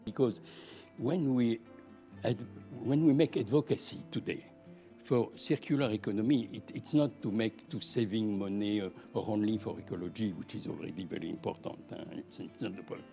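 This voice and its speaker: A man's voice: on the low side, normal speed, vocal fry, over music. A man explaining his advocacy work, stressing key words. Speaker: heritage advocate.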